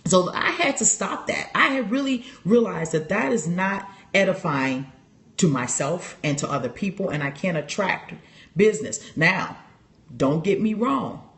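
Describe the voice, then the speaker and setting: Animated woman chatting to her viewers. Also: on the low side, measured pace. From Chicago. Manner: animated, laughs often, talks straight to viewers. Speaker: livestream host. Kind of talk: casual personal talk to viewers. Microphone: phone.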